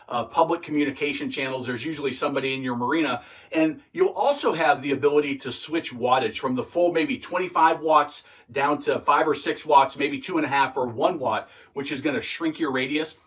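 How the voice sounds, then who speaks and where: A man's voice: mid-range voice, fairly bright tone, recorded from a distance. A man giving informal, practical instruction like a boating teacher, repeating key points for emphasis. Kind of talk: informal instructional video talk.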